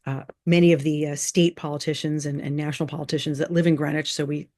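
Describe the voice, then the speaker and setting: A woman giving informal remarks at a meeting as a school board chair, thinking aloud in a halting way and repeating phrases, on a video call. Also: low voice, flat delivery, a little mellow.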